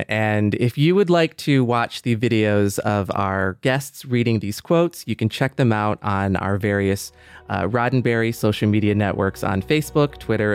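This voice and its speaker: A middle-aged man co-hosting a podcast, speaking in a measured casual way with many affirming murmurs. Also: lowish voice, clear, resonant tone, dry recording, clean studio sound. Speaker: podcast co-host.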